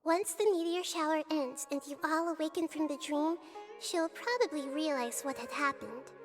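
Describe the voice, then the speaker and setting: A small, wise child deity from an animated game, performed by a woman. The voice is high, soft and childlike, with a composed and gentle delivery. Clear background music runs underneath. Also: close to an everyday voice. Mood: reassuring. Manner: gentle, measured, helpful. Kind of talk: animated video game voice acting. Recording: game music playing behind.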